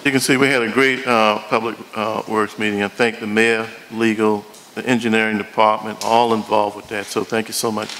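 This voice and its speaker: A man speaking as a council member, giving a loosely improvised report with halting phrasing and frequent asides at a meeting. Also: on the low side, flat delivery, background noise.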